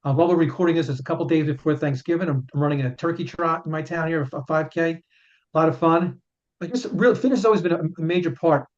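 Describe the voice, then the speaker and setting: A chiropractor in his fifties talks in an earnest, explaining way and often stumbles over repeated words. His voice sits a little high for a man, and the sound is muffled and lo-fi, as if it came through a remote call. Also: quick pace. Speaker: chiropractor and author, guest. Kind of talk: casual interview answers. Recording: dull lo-fi sound.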